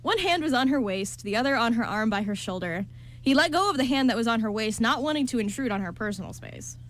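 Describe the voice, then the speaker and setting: Woman, narrator, scripted storytelling, radio play, fairly high pitch. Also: animated, quick pace.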